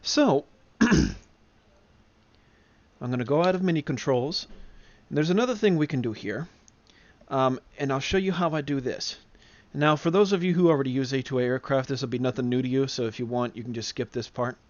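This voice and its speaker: A man giving an informal software demonstration, explaining things step by step. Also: medium pitch, moderate pace, no room sound, muffled recording. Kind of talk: casual software tutorial. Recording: clear background noise of simulator ambience. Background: flight simulator background sounds.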